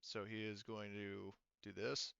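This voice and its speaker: A man's voice: lowish voice, level tone, measured pace, gravelly, dull audio, background noise. A man acting as game master, casually narrating a tabletop game and explaining rules while thinking aloud.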